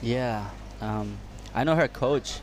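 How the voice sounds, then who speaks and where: A man co-commentating a surf contest in halting, friendly English, drawing on his own surfing. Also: medium pitch. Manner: halting, friendly, laughs easily. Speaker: co-commentator and surfer. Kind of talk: live sports commentary. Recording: noisy outdoor ambience with traffic.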